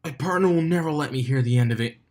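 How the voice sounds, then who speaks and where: A man's voice: a little high, fast talker, darkish tone, voice pushed up, lightly acted. A man voicing a defensive, struggling young male pegasus who brags and stammers in a story. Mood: defensive bravado. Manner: stammering, blustering.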